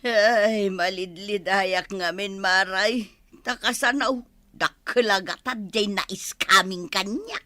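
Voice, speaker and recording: A woman's voice: mid-range voice, slow, pushed and bright, no echo. A woman with a Filipino Ilocano accent acting a distraught, swindled village wife in a radio comedy drama, wailing and lamenting. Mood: regretful lament.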